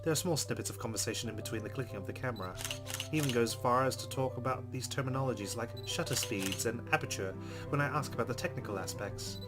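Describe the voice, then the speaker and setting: A man hosting a let's play, expressively reading a visual novel's narration aloud and adding his own reflective reactions. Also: medium pitch.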